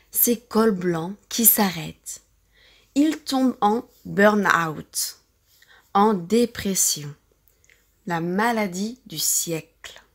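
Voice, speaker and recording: A woman from France with a French accent reads a novel aloud for language learners, enunciating carefully and deliberately in short phrases. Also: on the high side. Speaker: audiobook-style reader for language learners. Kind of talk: slow scripted novel narration for pronunciation practice.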